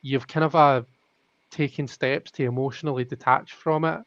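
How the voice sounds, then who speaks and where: A man's voice: medium pitch, steady tone, moderate pace, background noise. A man hosting a live tarot stream, thinking aloud in casual chat with many restarts. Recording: dull lo-fi audio.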